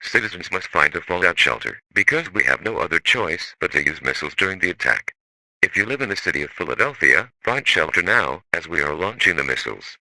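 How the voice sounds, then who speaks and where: Male alert announcer, scripted broadcast read, hard bright tone with animated inflection. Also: sits low, brisk, no echo, rough recording.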